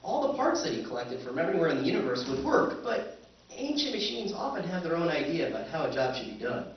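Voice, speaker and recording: A man's voice: a little high, big pitch swings, quick pace. A man narrating and playing a wry male surveyor, telling the story with dry humor in a live radio drama recreation. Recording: echoey hall, far mic, muffled, music.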